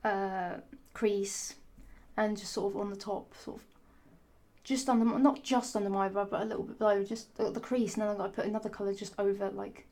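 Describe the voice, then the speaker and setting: A young woman, her voice hoarse, rambling sassily to camera with frequent swearing and restarts. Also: medium pitch, little pitch movement, brisk, a little mellow. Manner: rambling, sassy, swears often, many restarts. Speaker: vlogger. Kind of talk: rambling casual monologue.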